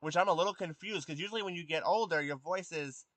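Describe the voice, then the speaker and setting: Man, early twenties, youthful undeepened voice, slightly high, hesitant with ums, casual live stream chat, dry sound. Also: fast, fairly bright tone, no room sound. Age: 20s. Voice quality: youthful voice that has not deepened. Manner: hesitant, many ums and restarts, answers chat questions.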